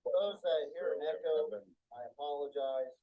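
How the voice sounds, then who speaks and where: A man with a somewhat high voice co-hosts a live podcast. His talk is casual banter, loose and informal, with swearing thrown in freely. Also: normal speed, muffled recording. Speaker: podcast co-host.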